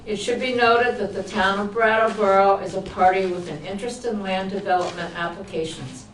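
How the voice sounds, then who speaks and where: A woman's voice: medium pitch, steady tone. Woman chairing a meeting, echoey and distant. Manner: formal, measured, with light asides. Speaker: board chair. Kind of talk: reading meeting procedure aloud. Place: town meeting room. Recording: echoey, distant, noisy public-access broadcast.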